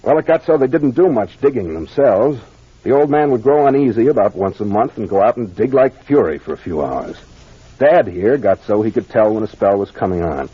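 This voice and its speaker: An American man playing a confident detective captain in a 1940s radio mystery, explaining things deliberately and with authority. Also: on the low side, expressive, husky. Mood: assured, explanatory. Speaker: actor playing the investigator. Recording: muffled old radio recording.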